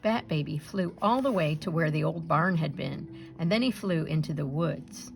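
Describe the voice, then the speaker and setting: A woman reading a children's picture book aloud in an expressive storytelling style, acting out the sounds and dialogue. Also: deep voice, moderate pace, creaky, soft background music. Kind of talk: animated read-aloud narration for children.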